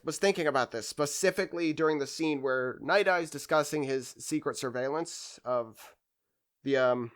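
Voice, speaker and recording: A man's voice: medium pitch, a bit bright. A man hosting a discussion video in a casual, unscripted way, rambling and hesitating as he gathers his thoughts. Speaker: anime discussion video host. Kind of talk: casual unscripted fan commentary.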